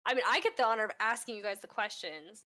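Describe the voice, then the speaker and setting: A chatty woman hosts an online voice chat, upbeat and quick to laugh. Her voice sits a little high. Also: expressive, bright, forceful tone. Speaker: host of a community voice-chat AMA. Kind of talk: casual closing remarks on a voice chat.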